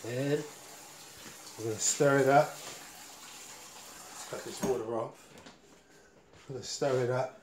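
A middle-aged man casually demonstrating cooking as he works, halting and repeating himself in a home kitchen. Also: medium pitch, steady tone. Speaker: home cook hosting a cooking video. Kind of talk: casual cooking demonstration, talking while working. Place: small home kitchen, indoors. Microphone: camera or phone mic at varying distance. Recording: roomy kitchen echo, clattering pots, noisy.

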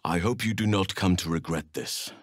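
An actor playing a wise, gentle and steadfast elf druid in a fantasy video game, speaking in measured, formal phrases. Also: male voice, low voice, no echo. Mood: earnest, measured. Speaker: video game companion character. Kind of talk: acted fantasy game dialogue.

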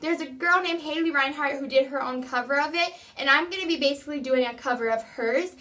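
A woman's voice: high-pitched, fairly quick, sharp tone. A young woman in her teens or early twenties talking casually and earnestly to a camera in her bedroom, introducing herself as an amateur singer. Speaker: amateur singer making an audition video. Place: small bedroom indoors.

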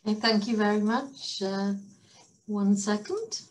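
A woman's voice: mid-range voice, measured pace. A middle-aged woman with a Welsh accent, a professor giving a chatty presentation over a video call. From Wales. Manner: chatty, even-toned, with fillers.

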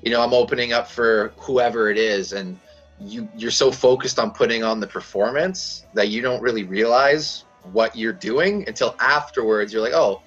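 Raspy young man on a video call. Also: mid-range voice, moderate pace, soft background music. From Canada. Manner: relaxed, rambling, laughs easily. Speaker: country singer, guest. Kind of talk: casual interview chat. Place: small room at home. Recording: dull lo-fi video call audio.

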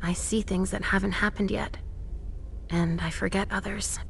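Fragile, creaky-voiced troubled mother. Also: mid-range voice, fairly even intonation, moderate pace, fairly bright tone, slight background noise, rough recording. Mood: tender, reassuring. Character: troubled, tender vampire seer mother, fragile and uneasy. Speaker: video game character. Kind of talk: dramatic game cutscene acting.

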